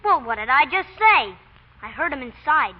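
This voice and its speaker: A woman voicing an excitable young fellow. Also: sits high, normal speed. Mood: gleeful. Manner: excitable, whooping, slangy. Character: excitable gleeful young fellow named Willie. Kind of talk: 1940s radio acting. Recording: dull lo-fi old radio broadcast.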